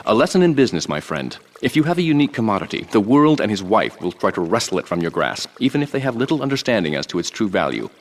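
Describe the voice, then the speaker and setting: A man's voice: on the low side, very animated, fast, hardly any pauses. An actor playing a pompous, wordy businessman crime boss, giving commands in a video game cutscene. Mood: pompous, commanding.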